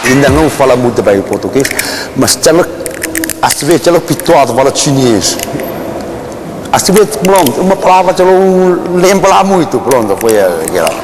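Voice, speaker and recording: Man, halting speech. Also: medium pitch, big pitch swings, poor audio. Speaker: interviewee, parent. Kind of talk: casual interview talk.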